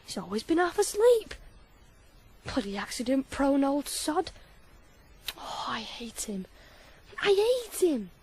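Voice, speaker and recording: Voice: sits high, big pitch swings, slow pace, long pauses, a little mellow, full character voice. A performer playing a resentful, stubborn teenage runaway boy with a Northern English accent, blunt and hot-headed in a radio drama. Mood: bitter.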